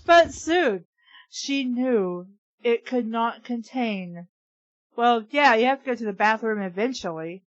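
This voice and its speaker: A high-pitched woman reads bad fan fiction aloud at an unhurried pace, switching from deadpan narration to sarcastic asides. The sound is dry and close, and a little dull and lo-fi. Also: no echo. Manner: deadpan reading broken by sarcastic asides and giggles. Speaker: reader and podcast host. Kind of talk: comic dramatic reading of fan fiction.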